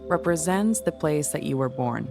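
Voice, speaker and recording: A woman leading a guided meditation in a soothing, gentle, unhurried manner. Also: low-pitched, lively intonation, creaky. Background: music.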